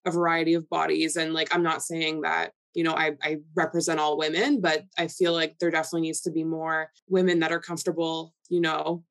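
Brief: young Canadian woman, Polish first language, lowish voice, rambling candid guest. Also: flat delivery, a little fast, hardly any pauses. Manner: chatty, filler-heavy, candid. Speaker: guest influencer. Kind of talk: casual podcast interview.

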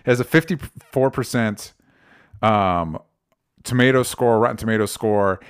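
A man's voice: on the low side, clean tone. Opinionated, sweary man co-hosting a podcast. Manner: opinionated, sweary, halting delivery. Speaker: podcast co-host. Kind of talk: casual film discussion.

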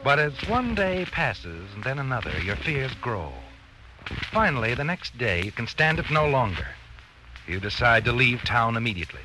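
An actor playing a knowing, ominous narrator in an old radio suspense drama, dramatic and insinuating in second person. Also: male voice, mid-range voice, sing-song, normal speed, noisy background, lightly acted. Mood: ominous, suspenseful. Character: knowing, ominous narrator addressing the guilty man as you.

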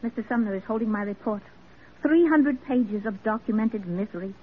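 A woman's voice: medium pitch, moderate pace, slightly rough, narrow, tinny audio, natural voice. An actress playing an earnest, unyielding woman crusader in an old radio drama, formal, impassioned and blunt. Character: earnest, unyielding female crusader for the mentally ill. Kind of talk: classic American radio drama acting.

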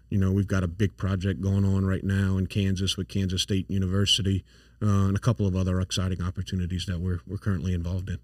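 A man's voice: lowish voice, a little mellow, dry recording. A man in his forties with a south Louisiana accent, a company founder talking casually as an interview guest, earnest and full of fillers and restarts. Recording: dry, a bit far from the mic.

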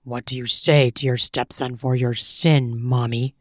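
A stern, possessive young man, as a woman reader puts him on, murmuring his commands low and slow. The voice sits deep and even, and the recording is close and dry with no room sound. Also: no echo.

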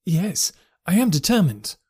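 A man voicing an agitated, anxious Victorian husband who speaks urgently and forcefully in a raised voice. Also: high-pitched, sing-song, unhurried, slightly rough, dry recording, studio quality, pitched above the performer's own voice, heavily acted.

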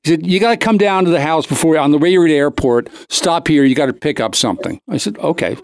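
A man's voice: fairly high pitch, expressive, fast talker, vocal fry. A man hosting a talk show, telling a comic anecdote in a casual, rambling way, cutting himself off and acting out the dialogue. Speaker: show host.

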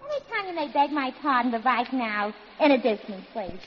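A woman's voice: sits high, big pitch swings, fairly quick, dull audio, a little hiss or hum. A woman playing a scatterbrained, insistent comedienne in 1940s radio comedy, on an old recording. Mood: breezy, pushy. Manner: ditzy, insistent patter.

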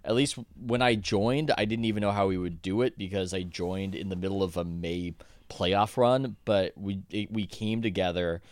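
A man's voice: sits low, fairly even intonation, moderate pace, a little mellow, no room sound. A man, an author guest on a podcast, talking casually in a rambling way with many restarts and self-corrections.

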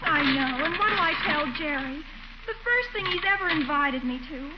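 A teenage girl in an old radio comedy, very high-voiced, earnest and dramatically plaintive. The recording is dull, with clear noise behind her. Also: lively intonation, moderate pace. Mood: despairing. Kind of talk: 1940s radio comedy acting.

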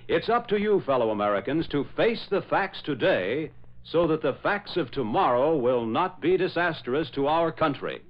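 A man's voice: fairly high pitch, animated, moderate pace. A man announcing and narrating in formal, emphatic, dramatic 1940s radio style. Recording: muffled old radio broadcast.

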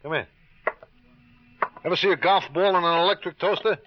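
A man's voice: sits high, brisk. An actor playing a chatty, wisecracking middle-aged repairman with folksy patter in an old radio crime drama. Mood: jovial. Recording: dull old radio recording.

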